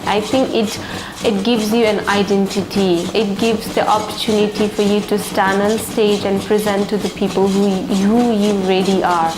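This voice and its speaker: A woman's voice: mid-range voice, level tone, normal speed, noisy background. A woman from Nepal with a Nepali accent, speaking as a group leader in a documentary interview. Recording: music under the voice.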